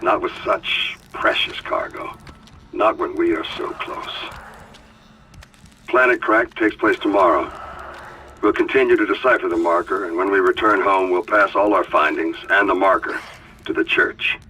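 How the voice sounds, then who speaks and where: An actor playing a solemn, devout starship captain recording a grave, self-justifying personal log in a video game. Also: male voice, low-pitched, normal speed, pushed and bright. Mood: fervent, defensive. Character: solemn devout starship captain, male, defending his secret mission. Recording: noisy radio-like log recording, distant.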